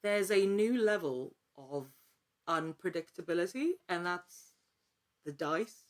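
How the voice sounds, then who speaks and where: A woman speaking as a guest in a casual interview. Her voice sits a little low. She talks with enthusiasm and emphasis, in an actor's conversational way. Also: expressive, slow pace.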